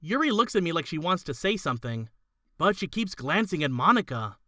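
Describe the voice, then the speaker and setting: A woman voicing an earnest, kindly high school student who narrates in the first person in a visual novel fan dub. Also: very animated, a little fast, sharp tone, no room sound, clean studio sound, exaggerated voice. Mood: narrating, observant.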